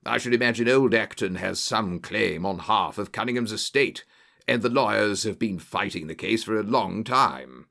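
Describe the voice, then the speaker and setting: Guy putting on a bluff old army colonel for an audiobook, deep creaky voice, genial, unhurried. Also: pushed and bright. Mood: cool, matter-of-fact. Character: bluff, genial old-soldier colonel, English country gentleman.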